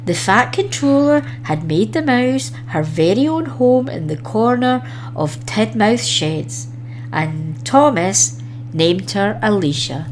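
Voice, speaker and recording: A woman reading a children's story aloud as an expressive storyteller. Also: medium pitch, takes it slowly, a little hiss or hum, poor audio.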